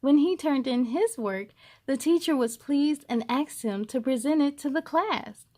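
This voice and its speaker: A woman hosting a children's read-aloud, expressive and encouraging as she speaks to young listeners. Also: sits high, a little slow. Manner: expressive, encouraging, addresses young viewers directly. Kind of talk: animated storybook reading for children.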